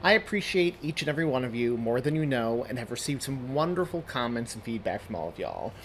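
A man's voice: medium pitch, very animated, fairly quick, a little hiss or hum. A man speaking as a podcast host in a scripted, effusive and thankful address to listeners. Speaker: podcast host and story author.

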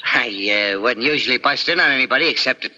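A small, sly, breezy pickpocket from 1940s radio drama. He patters quickly and chattily in a piercing voice, on a dull, hissy vintage recording. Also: mid-range voice, bright, forceful tone. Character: small, slight, sharp-featured, breezy male pickpocket.

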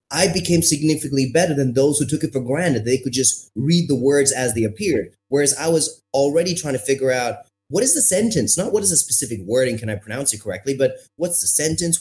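A man in his thirties from India, a podcast guest telling his story in an animated way, with emphatic phrasing and pace that keeps shifting. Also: mid-range voice. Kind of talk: storytelling interview answer.

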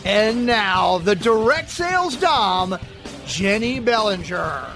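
High-voiced male announcer over music. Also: lively intonation, slow pace, vocal fry. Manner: punchy, announcing. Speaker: show announcer. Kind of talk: scripted intro voice-over.

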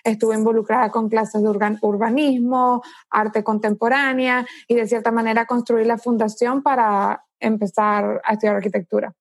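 A Venezuelan woman in her thirties recounting her career to an interviewer. Her voice sits a little high and is recorded close and dry. She thinks aloud, with "eh" fillers and "¿no?" at the end of phrases. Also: little pitch movement, dry recording. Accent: Venezuelan Spanish. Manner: thoughtful storyteller, frequent "¿no?" tags and "eh" pauses. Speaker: tech startup founder, guest. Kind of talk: conversational interview answers.

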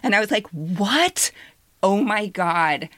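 A woman's voice: medium pitch, lively intonation, takes it slowly, fairly bright tone. A middle-aged woman who co-hosts a podcast, speaking in an emphatic, plain-spoken way as she chats and reads personal essays. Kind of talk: casual chat and personal essay reading.

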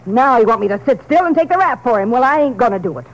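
A woman's voice: a little high, expressive, fast, close to an everyday voice, low-quality recording. An actress playing a sullen, defiant female crook in a 1950s radio drama, talking brash street slang. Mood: bitter. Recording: vintage radio broadcast.

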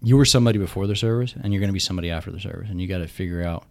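A man's voice: sits low, very fast, gravelly. A man in his thirties, a military veteran, talking casually and emphatically, often repeating words as he thinks, his voice still worn from recently losing it. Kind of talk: casual podcast conversation.

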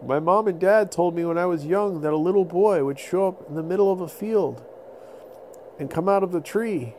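A man voicing a friendly, excitable young woolly mammoth full of wonder, earnest and repeating himself, in a children's story. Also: high-pitched, moderate pace, a little hiss or hum, voice pushed up, close to an everyday voice, poor audio. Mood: amazed, welcoming.

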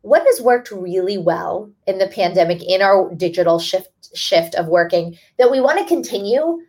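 A woman's voice: mid-range voice, lively intonation, moderate pace, darkish tone. A woman author speaking as a guest in a webinar, explaining earnestly and restarting phrases now and then. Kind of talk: webinar talk.

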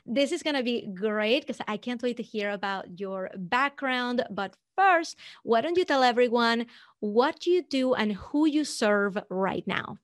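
Upbeat high-voiced woman podcast host. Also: expressive, unhurried. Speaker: podcast host and business coach. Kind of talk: scripted intro then interview chat.

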